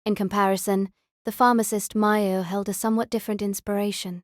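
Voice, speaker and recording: Female audiobook narrator, mid-pitched, reading a fantasy novel in a dry, close recording. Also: flat delivery, a little fast, dry recording.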